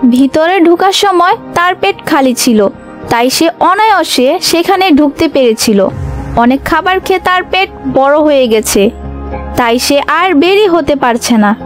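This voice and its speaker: A woman with a high-pitched voice tells a scripted children's story as its narrator. Music plays clearly underneath her reading. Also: lively intonation, measured pace.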